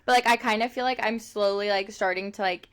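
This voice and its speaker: A woman's voice: medium pitch, fairly even intonation, fairly quick, a bit bright, smooth. A woman in her late twenties co-hosting a podcast, chatting casually and rambling, with lots of "like" and frequent laughs. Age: 20s. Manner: chatty, rambling, heavy use of "like", laughs often. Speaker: podcast co-host. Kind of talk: casual chat.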